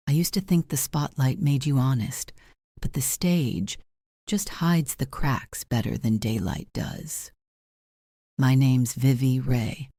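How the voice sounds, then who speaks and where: A woman plays a world-weary torch singer in a noir radio drama. Her voice is strikingly low and dark, guarded and wry, in a dry recording. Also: creaky, slightly rough, no echo, heavily acted. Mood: wistful. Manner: wry, cool, hard-edged.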